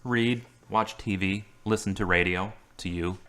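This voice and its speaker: A man's voice: low-pitched, fairly even intonation, a little slow, a bit bright. A wry carpenter out of hospital, played by a man. He speaks in a plain everyday voice with a dry, sardonic edge. The scene comes from an amateur audio drama. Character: wry male carpenter recovering from surgery.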